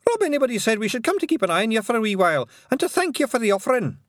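A man's voice: very high-pitched, sing-song, rapid-fire, no echo, clean studio sound, voice pushed up. A man voicing a tiny nervous male pixie with a Scottish accent, eager and stammering.

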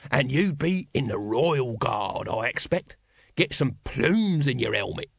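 A man's voice: mid-range voice, big pitch swings, unhurried, vocal fry, slightly rough, dry recording, studio quality, full character voice. A man voicing a pleasant, opportunistic street hawker with an English accent and colloquial salesman patter in an audiobook. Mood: wheedling, eager.

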